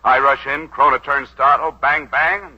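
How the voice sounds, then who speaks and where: An actor playing a brash, wry lawyer who schemes and smooth-talks, in 1940s radio drama style. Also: male voice, medium pitch, fairly even intonation, sharp tone. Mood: sly, insistent. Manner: wry, fast-witted, smooth-talking. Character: brash, wisecracking young lawyer turned schemer, slick and probing. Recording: dull 1940s radio broadcast recording.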